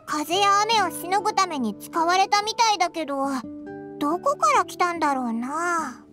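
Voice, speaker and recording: A woman's voice: very high-pitched, lively intonation, moderate pace. A woman voicing a tiny, childlike fairy companion in an anime video game, with cute, cheeky, blunt speech. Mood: puzzled. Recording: prominent music behind the voice.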